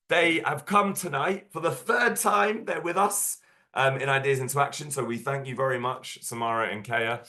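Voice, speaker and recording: Croaky man hosting an online workshop. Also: mid-range voice, a bit bright. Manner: casual, filler-heavy, upbeat. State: hoarse from a cold. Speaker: workshop host.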